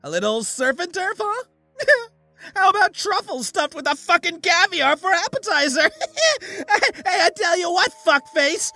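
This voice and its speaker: Shrill, manic talking tin can. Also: male voice, lively intonation, a little slow, bright, forceful tone, no room sound, faint music behind, full character voice. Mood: mocking, gleeful. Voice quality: shrill, strained. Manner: mocking, foul-mouthed, blustering. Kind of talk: comedic audio fiction character voice.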